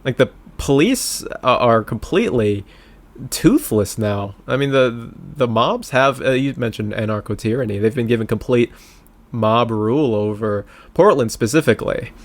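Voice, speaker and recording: A man's voice: mid-range voice, expressive, normal speed. A man co-hosting a political talk podcast, speaking informally and conversationally with hesitant starts.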